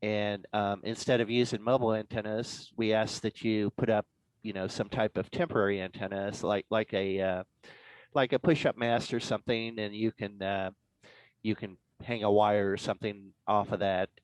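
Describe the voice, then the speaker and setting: A man giving an informal, explanatory presentation as a guest speaker on an online call, in a halting way. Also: fairly low pitch, steady tone, smooth, no echo, rough recording. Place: online club meeting.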